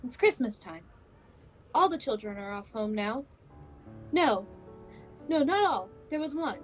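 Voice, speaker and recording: A woman playing an excitable female miser recalling childhood, in a theatrical radio play recorded over a video call. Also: on the high side, measured pace, faint music behind, rough recording. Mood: excited, nostalgic.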